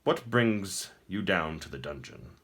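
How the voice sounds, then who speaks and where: A man voicing an exhausted, defiant captive prince in a storybook, with a weak, hushed voice. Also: sits low, big pitch swings, a little slow, gravelly, heavily acted. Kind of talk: audiobook character acting.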